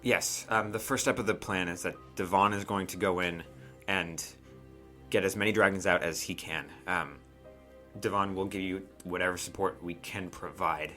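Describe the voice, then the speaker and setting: Low, bright-voiced man running a game. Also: fairly even intonation. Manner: conversational, halting, checks in with "right?" and "like". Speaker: game master. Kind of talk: improvised narration of a tabletop game. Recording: music behind the voice.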